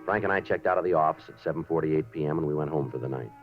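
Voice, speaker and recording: An actor playing a terse, patient police detective in a 1950s radio drama, dry and businesslike in speech and narration. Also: male voice, very deep voice, very fast. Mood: matter-of-fact. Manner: clipped, dry, matter-of-fact. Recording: muffled 1950s radio recording, music behind.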